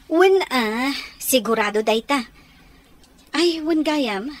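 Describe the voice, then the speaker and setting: An actress with an Ilocano accent playing a helpful, chatty older sister in a radio comedy drama. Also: female voice, high voice, lively intonation, slow pace.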